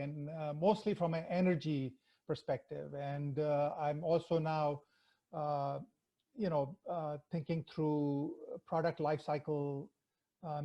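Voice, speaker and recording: A man introduces himself on a video call, pausing on many fillers as he goes. His voice sits a little high. The audio is dull and lo-fi. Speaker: product manager.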